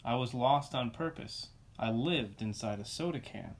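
Bad sunflower seed , performed by a man: picture-book character, deliberate and dramatic, very lively intonation. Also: medium pitch. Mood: sullen. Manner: dramatic, deliberate storybook delivery. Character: grumpy, self-confessed bad sunflower seed, later trying to be good. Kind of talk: children's picture-book reading.

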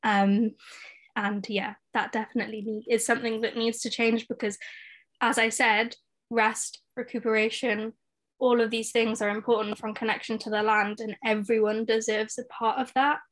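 High, even-toned young woman panelist. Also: moderate pace. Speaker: activist on a discussion panel. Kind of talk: earnest panel discussion. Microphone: video call.

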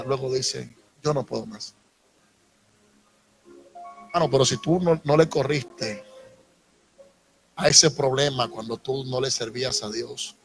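A man's voice: medium pitch, low-quality recording. A man in his thirties with a Dominican accent, preaching a sermon to a congregation on a handheld mic. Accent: Dominican Spanish. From Dominican Republic. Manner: preaching with rising emphasis and audience asides. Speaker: pastor. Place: church sanctuary with congregation. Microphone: handheld microphone. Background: soft instrumental music.

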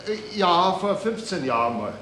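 Grumpy Bavarian policeman, high-pitched, roomy. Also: sing-song, measured pace, fairly bright tone, full character voice. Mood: testy. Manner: grumbling, dry, touchy. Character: grumpy Munich policeman with a headache, thick-headed. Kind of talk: radio crime play acting, dialect dialogue. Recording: roomy 1970s radio play, faint noise.

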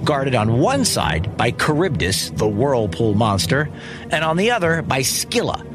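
A man's voice: mid-range voice, sing-song, a little slow. A man telling a scripted children's story as host, in a wry, conversational manner with dramatic emphasis. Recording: music behind the voice. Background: music.

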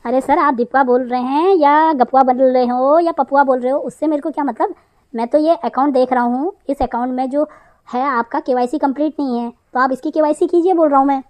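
A woman's voice: high-pitched, fairly quick, a little mellow, close to an everyday voice. A woman voicing a pushy male phone scammer who poses as an officious bank manager in a comic cartoon. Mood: insistent. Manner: persistent, wheedling, officious.

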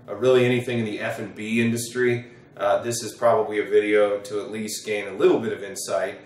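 A middle-aged American man, an attorney explaining to camera in a measured, wordy way in an office. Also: mid-range voice. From United States. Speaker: attorney, presenter. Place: small wood-panelled office, indoors. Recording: roomy, echoing sound from a distance.

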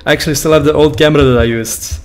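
A man's voice: a little high, steady tone, racing, soft background music. A young man with a Dutch-speaking Belgian accent, joking and laughing while reacting to his old videos at a desk mic in a small room. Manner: laughing, joking, loose and profane. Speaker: YouTuber. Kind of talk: reaction commentary. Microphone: desk boom mic with headphones.

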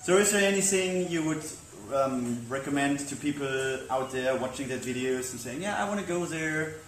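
A man's voice: fairly high pitch, animated, moderate pace. Chatty interviewer man, roomy and noisy. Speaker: interviewer, sailing channel host. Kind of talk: casual interview questions. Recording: echoey veranda with background noise.

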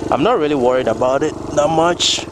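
A man's voice: a little high, fairly quick. A man riding a small motorbike on country roads, chatting casually and laughing at himself. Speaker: motovlogger. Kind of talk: casual ride commentary. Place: outdoors on rural roads, riding a pocket bike. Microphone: action camera mounted on the bike. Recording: loud engine and wind noise outdoors.

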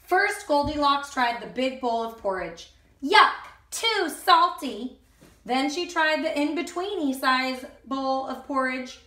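Bright high-voiced woman reading a picture book. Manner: expressive, slow and deliberate for children. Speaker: teacher reading aloud. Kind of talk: animated picture-book read-aloud. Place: indoors in a room at home. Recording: echoey room sound.

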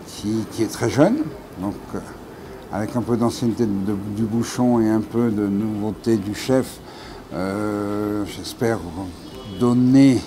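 Middle-aged guy, owns the restaurant, slow and halting, lower voice, noisy room. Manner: halting, many filler sounds. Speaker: restaurant owner. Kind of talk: interview answers. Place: restaurant bar, indoors.

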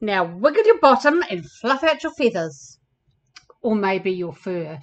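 A woman's voice: mid-range voice, sing-song, unhurried, highs cut off. A woman voicing an upbeat, encouraging male ostrich who teaches dancing in a children's storybook.

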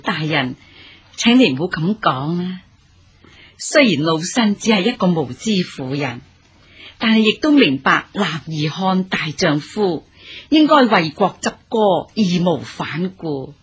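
A woman's voice: on the low side, very animated, unhurried, breathy edge, full character voice. A woman acting a humble, respectful elderly mother in a period radio drama, with deferential, formal Hong Kong Cantonese delivery. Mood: respectful, reassuring.

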